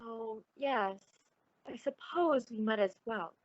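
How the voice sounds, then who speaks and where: A woman's voice: on the high side, slow pace, muffled recording. A woman playing a genteel, uneasy, concerned friend in an old-time radio suspense drama, speaking politely and hesitantly with theatrical expression.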